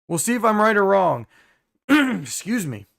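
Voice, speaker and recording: A hoarse young man hosting a casual live stream, reading viewer comments with many fillers and throat clearing. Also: high voice, very animated, a little slow, a bit bright.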